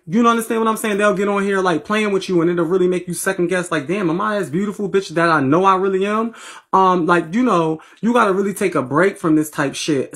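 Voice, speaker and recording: Raspy Philly woman, sassy and blunt. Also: lowish voice. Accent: Philadelphia. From Philadelphia. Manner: blunt, profane, sassy talk to viewers. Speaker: livestream host. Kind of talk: casual livestream chat. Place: bedroom at home, indoors. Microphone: phone at arm's length.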